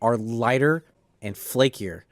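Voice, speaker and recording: A man's voice: mid-range voice, slow, dry recording. A man bantering casually as a podcast panelist, argumentative and foul-mouthed.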